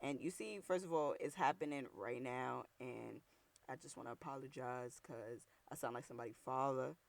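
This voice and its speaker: A young woman from New York with a deep, rough voice and a New York accent, hosting her own show with blunt, slangy, heated talk. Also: moderate pace, no echo. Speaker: podcast host. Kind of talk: casual solo commentary.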